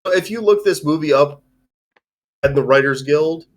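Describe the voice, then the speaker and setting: A man's voice: a little high, normal speed. A man hosting a livestream, discussing films in a casual, rambling, informal way. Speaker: host of a livestream discussion show.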